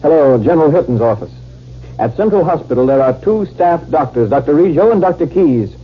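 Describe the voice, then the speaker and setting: An actor playing a smooth, duplicitous army captain in a 1950s radio drama. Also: male voice, fairly high pitch, expressive, a little fast, natural voice. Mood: brisk, commanding. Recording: muffled, hissy 1950s radio recording.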